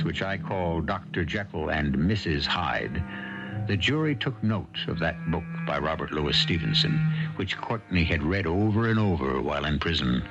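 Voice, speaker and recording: Deep, swooping male radio mystery host. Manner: dramatic, rhetorical. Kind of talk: scripted theatrical narration. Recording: dull 1970s radio broadcast recording. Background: music.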